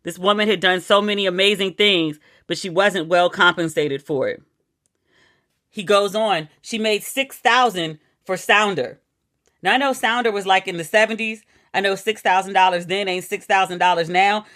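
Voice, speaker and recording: A woman's voice: mid-range voice, moderate pace, fairly bright tone, no room sound, studio quality. A woman hosting a podcast, chatting casually and playfully as she reads out quotes and news items. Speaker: podcast host. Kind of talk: casual chat.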